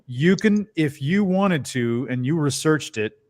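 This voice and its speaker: A middle-aged man with a bass-baritone voice, a voice actor chatting as a guest in an interview and telling stories with lively bits of character voices. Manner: animated storyteller, tosses in quick character voices. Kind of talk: casual interview chat.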